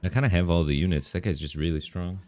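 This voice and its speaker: A man streaming a game live, talking in a relaxed, rambling way with lots of fillers, his voice bassy on a studio microphone at his home setup. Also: fast talker. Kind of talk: casual live-stream commentary. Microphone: studio microphone through an audio interface.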